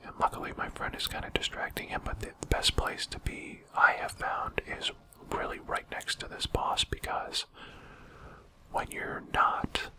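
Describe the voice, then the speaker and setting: Whispering man doing ASMR game commentary. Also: rough recording. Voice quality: breathy unvoiced whisper. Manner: quiet, unhurried, explanatory. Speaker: host of a gaming channel.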